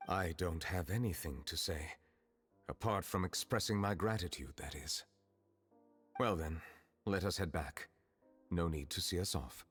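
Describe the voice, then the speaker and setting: A man voicing a dignified, reserved chief justice in a video game, speaking in a formal, measured, solemn way. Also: low-pitched, fairly bright tone, breathy edge, exaggerated voice. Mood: composed, grateful. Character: dignified, reserved male chief justice, secretly a dragon sovereign. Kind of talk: video game character voice acting. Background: music.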